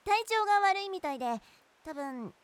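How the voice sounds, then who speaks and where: A woman's voice: very high-pitched, moderate pace. A woman playing a level-headed, earnest schoolgirl. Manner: calm, reasoning and earnest. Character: level-headed teenage schoolgirl, class mediator. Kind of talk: amateur voice drama.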